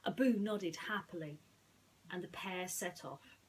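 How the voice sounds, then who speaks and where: A woman speaking in an earnest, slightly halting way, as a storyteller chatting and reading to children. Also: fairly low pitch, a little mellow, slightly rough, rough recording. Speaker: storyteller reading bedtime stories.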